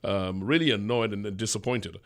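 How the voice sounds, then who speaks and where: A middle-aged man hosting a radio interview in a studio, asking measured, probing questions and affirming his guest. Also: fairly low pitch. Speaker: radio host. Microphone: studio microphone, headphones.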